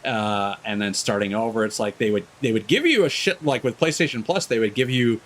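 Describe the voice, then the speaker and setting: A man's voice: on the low side, fairly quick, a bit bright. A man chatting casually as he streams a game, hesitant and discursive, restarting phrases and swearing now and then. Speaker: video game streamer, host. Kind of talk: casual rambling chat while streaming a game.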